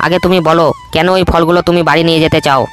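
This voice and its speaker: Cartoon monkey, male, young, helpful, high and fast; man doing the voice. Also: flat delivery, a bit bright, lightly acted. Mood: friendly. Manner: quick, high and friendly. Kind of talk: cartoon voice acting.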